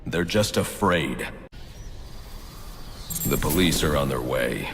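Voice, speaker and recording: A man's voice: extremely low pitch, expressive, slow, in a large hall, noisy background, heavily acted. A man playing a grim, terse masked vigilante in a video game, stern and commanding. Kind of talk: dramatic video game acting.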